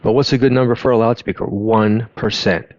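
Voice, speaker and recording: A man giving an informal explainer in a halting, conversational way, often interrupting himself to rephrase. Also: fairly low pitch, fairly even intonation, a little mellow, no room sound, dull audio. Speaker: host of an audio education channel. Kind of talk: informal explainer talk over on-screen graphs.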